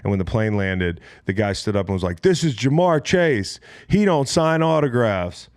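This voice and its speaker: A man's voice: lowish voice, expressive, normal speed, a little mellow, no room sound, clean studio sound. An American man around forty, bantering bluntly on a casual sports podcast as host, swearing freely as he tells stories.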